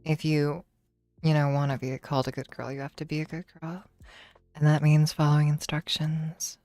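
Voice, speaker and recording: A woman streamer with a slightly hoarse voice, talking slowly and teasingly in a relaxed livestream chat. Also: low-pitched, dry recording. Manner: slow, teasing, drawn-out praise.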